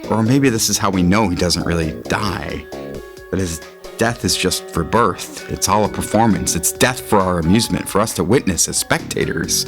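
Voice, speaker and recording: A man with a low voice reading scripted literary fiction as a narrator. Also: normal speed. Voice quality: low-pitched. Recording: music under the voice. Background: guitar music.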